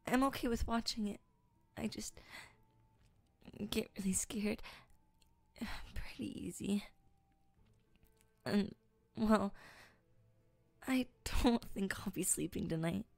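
A young woman voicing a timid, easily scared girl in an audio roleplay, speaking softly and hesitantly, stammering and often whispering. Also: medium pitch, measured pace, creaky, no room sound. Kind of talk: audio roleplay addressed to the listener.

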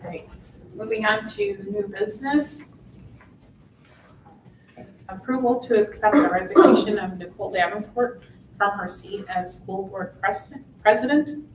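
A woman chairing a school board meeting, brisk and procedural. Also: medium pitch, noisy background. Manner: brisk, procedural, laughs off slips. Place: large meeting room with long tables. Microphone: table mic from far off. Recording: echoey boardroom from far off.

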